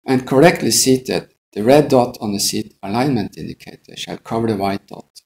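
A man's voice: medium pitch, expressive, normal speed, vocal fry, a little mellow. A middle-aged man, an airline pilot, giving a methodical, scripted instructional narration.

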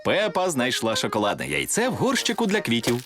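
A man's voice: mid-range voice, wildly swooping pitch, fairly quick. A man reading scripted narration for a children's cartoon. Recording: loud music behind the voice.

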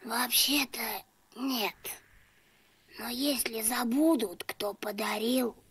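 A woman voicing a slow, grumbling female turtle in a Soviet puppet cartoon, drawling and matter-of-fact. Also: a little high, a bit bright, breathy edge, exaggerated voice. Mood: grumbling, deadpan.

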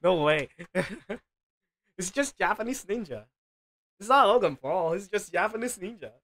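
A man's voice: sits high, expressive, normal speed, a bit bright. A man livestreaming a game, reading its dialogue aloud in a playful way, ad-libbing comments and stumbling over the odd line. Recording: game music behind the voice. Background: video game music.

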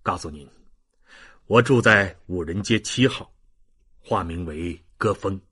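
A man's voice: low-pitched, pushed and bright, slightly rough, pitched below the performer's own voice, exaggerated voice. A man voicing grave, proud men from a historical novel in a weighty, dramatic manner. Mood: grave, calm. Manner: slow, dramatic, weighty delivery.

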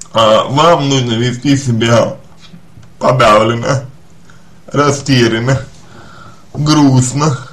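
A man with a mid-pitched voice gives advice in the role of a psychologist. He talks very slowly and deliberately, without a script. His delivery is halting, and he often repeats or rewords a phrase before moving on. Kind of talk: spoken advice to a listener, unscripted.

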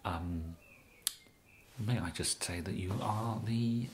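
A young man with an English accent in a soft-spoken ASMR comedy roleplay, hesitant and mumbling, as a bumbling, polite amateur kidnapper. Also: low-pitched, slow, very noisy. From England. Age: young adult. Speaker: ASMR performer.

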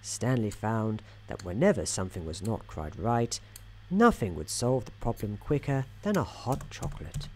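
A man playing a soft-spoken, whimsical, gently patronising narrator in an ASMR roleplay, speaking in a measured, soothing way. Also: on the low side, very animated, slight background noise. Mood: soothing, droll. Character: droll, soothing male storybook narrator in an office worker's head.

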